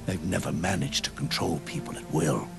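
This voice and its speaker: Very deep, gravelly old soldier. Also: male voice, lively intonation, exaggerated voice. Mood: grave, reflective. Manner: grave, deliberate, dramatic pauses. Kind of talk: dramatic video game cutscene monologue. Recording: loud music under the voice. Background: loud music.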